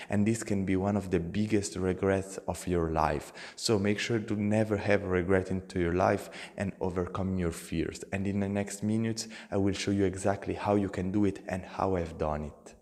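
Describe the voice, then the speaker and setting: A man's voice: on the low side, darkish tone, in a large hall. A young man with an Italian accent, talking earnestly to camera as a motivational channel host, in simple non-native phrasing.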